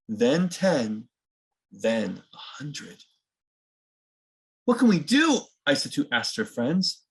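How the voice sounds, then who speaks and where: A man hosting a children's read-aloud, reading a picture book and explaining things simply for young listeners. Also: mid-range voice.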